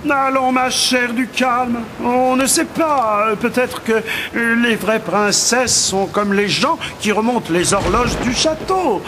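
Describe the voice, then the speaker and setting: A man playing a fussy, good-natured and hesitant king in an animated fairy tale. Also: very high voice, slow pace, noisy background. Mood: soothing, hesitant. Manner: hesitant, dithering, mildly grumbling.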